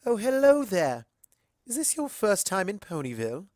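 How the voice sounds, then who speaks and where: A performer voicing an elegant, gracious unicorn mare socialite in a storybook reading, with refined, effusive, drawn-out phrasing. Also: mid-range voice, big pitch swings, darkish tone, no room sound, clean studio sound, pitched above the performer's own voice, close to an everyday voice. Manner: effusive, genteel, drawn-out words.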